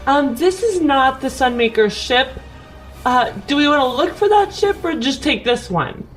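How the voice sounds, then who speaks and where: Lively high-voiced woman gamer. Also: normal speed, rough recording. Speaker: tabletop game player. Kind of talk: casual in-character game banter. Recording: loud music behind the voice. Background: prominent background music.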